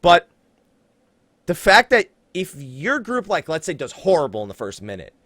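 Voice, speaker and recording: Animated man hosting a gaming podcast. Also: on the high side, moderate pace, no room sound, studio quality. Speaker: podcast host. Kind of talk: casual gaming podcast discussion.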